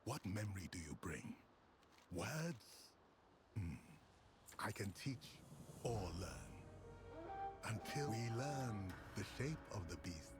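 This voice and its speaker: An actor playing a solemn, proud elder of a primal hunter tribe in a video game, speaking in spare, measured phrases. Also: male voice, lowish voice, sing-song. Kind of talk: video game character dialogue. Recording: loud music behind the voice.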